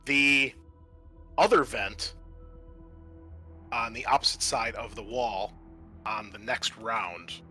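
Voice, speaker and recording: Male game master, bright, forward voice, explaining and narrating to players, music underneath. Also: mid-range voice, takes it slowly, long pauses. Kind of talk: narrating a tabletop roleplaying game.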